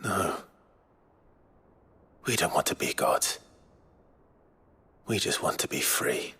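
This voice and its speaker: An actor playing a brooding fantasy game hero with a British accent, speaking in a grave, understated, dry way. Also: male voice, takes it slowly, sharp tone, a little hiss or hum. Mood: grave, resolute. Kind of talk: video game voice acting.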